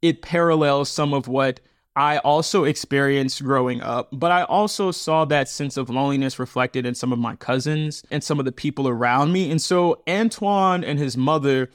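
A man in his thirties, a podcast guest talking about his audio fiction, animated and emphatic, often laughing and putting on quoted voices. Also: mid-range voice, moderate pace, clear, resonant tone, no room sound, studio quality. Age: 30s. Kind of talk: conversational interview.

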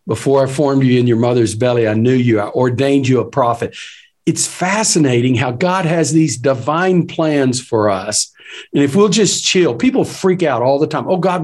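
A man hosting a faith interview, talking in a casual, folksy, emphatic way. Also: fairly high pitch. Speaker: interviewer, preacher. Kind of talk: faith talk show interview.

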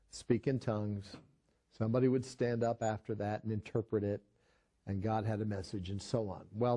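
A man's voice: medium pitch, mellow. An older man, a pastor, teaching a Bible study in a conversational sermon style, telling a story earnestly with frequent restarts.

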